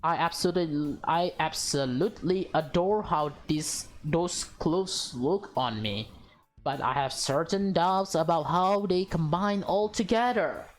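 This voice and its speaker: A woman's voice: lowish voice, measured pace, soft background music, natural voice. A woman voicing a bossy, vain young woman in a visual novel, with a demanding, teasing and theatrical manner.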